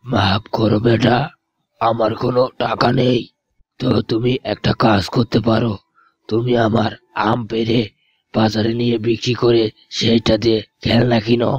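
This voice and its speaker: A man voicing a gentle, giving old mango tree in a children's storybook tale, speaking in a fatherly, apologetic way. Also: medium pitch, little pitch movement, a little slow, breathy edge, highs cut off.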